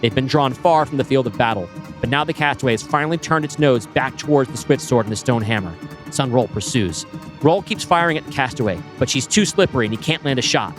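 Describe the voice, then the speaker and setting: A man reading his screenplay aloud, with brisk scene-setting narration. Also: medium pitch, lively intonation, music in the background. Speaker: writer pitching a screenplay.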